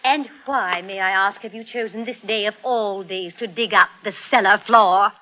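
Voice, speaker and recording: A woman's voice: mid-range voice, moderate pace, lightly acted. A woman playing a haughty, scornful wife with imperious, clipped sarcasm in 1940s radio acting. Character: haughty, scornful nagging middle-aged wife. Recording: dull 1940s radio recording.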